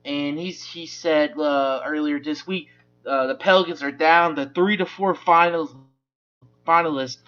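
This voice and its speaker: A man's voice: on the high side, fairly bright tone, lo-fi sound. A man hosting a casual sports talk show, with a loose, rambling delivery full of restarts. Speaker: sports podcast host.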